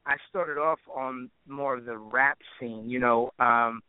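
A man's voice: mid-range voice, steady tone, a little slow, smooth, no echo, phone-quality sound. A man chatting casually as an interview guest, with informal, slangy phrasing and frequent "you know" asides. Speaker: interview guest, musician and producer.

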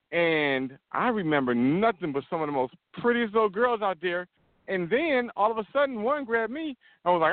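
Man, fast talker, rambling, restarts a lot, walking while talking, tinny phone line. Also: high-pitched, no echo. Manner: rambling storyteller, many restarts. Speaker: podcast co-host. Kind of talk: casual chat.

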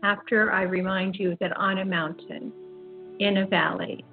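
Older female spiritual radio host, unhurried halting delivery, narrow telephone-grade audio with music behind. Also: medium pitch, little pitch movement. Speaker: host of an online spiritual talk radio program. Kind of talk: reflective, informal monologue. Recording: dull, phone-like internet radio audio.

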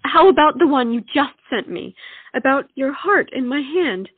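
A woman's voice: high-pitched, lively intonation, moderate pace, no room sound. A woman playing an earnest, romantic young lady who presses her point insistently in a stage comedy. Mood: exasperated. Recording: tinny, narrow-band sound.

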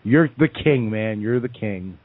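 A male radio host speaking haltingly, with many pauses and restarts, in a light, teasing way. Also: fairly low pitch, expressive. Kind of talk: casual radio talk. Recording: dry, tinny phone line.

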